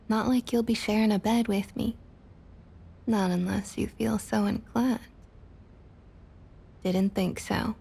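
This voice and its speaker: A woman playing a domineering, condescending vampire councilwoman with a Southern US accent, in a breathy, intimate ASMR roleplay with a drawling delivery. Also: medium pitch. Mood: teasing, bossy. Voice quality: husky, breathy, often half-whispered. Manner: drawling, condescending, quietly threatening. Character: domineering female vampire council member, centuries-old, coolly possessive Southerner. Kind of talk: intimate ASMR roleplay, scripted character acting.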